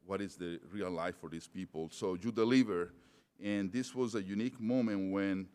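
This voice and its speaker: Middle-aged male speaker with a non-native accent: deep, halting conference talk, in a reverberant hall. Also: darkish tone, clear, resonant tone. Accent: non-native English. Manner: hesitant, many fillers and restarts. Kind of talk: conference presentation.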